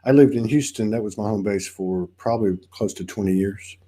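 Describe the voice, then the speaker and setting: A man from Texas with a Texan accent, hosting a casual spiritual interview over a video call and talking in halting, rambling runs full of restarts. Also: on the low side, dark tone. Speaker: podcast host.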